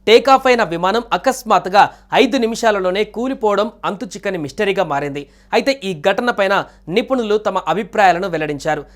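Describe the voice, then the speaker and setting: Fast, high-pitched male news narrator. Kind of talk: scripted news voice-over. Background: background music.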